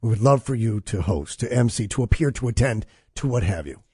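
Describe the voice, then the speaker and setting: A man's voice: on the low side, animated, a little fast, dark tone, slightly rough, no room sound, clean studio sound. A man hosting a talk show who talks in a joking, playful and emphatic way. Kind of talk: casual chat.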